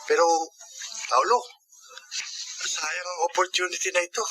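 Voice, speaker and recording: Encouraging Filipino college buddy, radio drama: high, sharp, forceful voice, earnest urging delivery. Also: male voice, normal speed, slightly rough, faint music behind, full character voice, rough recording. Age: young adult. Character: earnest, encouraging young Filipino student friend.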